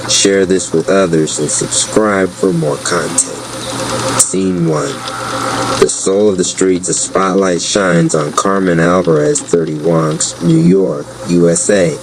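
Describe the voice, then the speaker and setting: Narrator, male, deep and slow, flat synthetic-sounding read, noisy track. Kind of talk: scripted dramatic narration.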